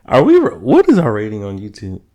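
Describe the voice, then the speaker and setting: A man's voice: on the low side, huge pitch swings, brisk, mellow, slight background noise. A man co-hosting a podcast in a casual, joking conversational style. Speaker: podcast co-host.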